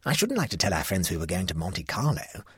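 A cautious young woman who thinks about what is respectable, read by a man in close to his own low voice. Her tone is husky and measured, and the sound is dry. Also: wildly swooping pitch, dry recording, full character voice. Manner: careful and respectable.

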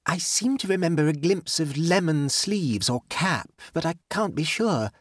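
A man's voice: fairly high pitch, expressive, unhurried, dry recording, clean studio sound, voice pushed up. A man voicing a touchy, self-pitying young society woman in a storybook, with a plaintive, genteel and defensive manner. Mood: earnest, defensive. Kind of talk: audiobook character dialogue.

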